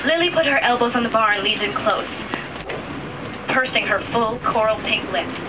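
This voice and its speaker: Over loud vehicle noise and thin, phone-like sound, a woman reads romance fiction aloud in a high voice. She performs it as parody, with mock-serious drama and a giggly edge. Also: moderate pace. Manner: mock-serious, giggly, often breaking into laughter. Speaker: storyteller reading aloud.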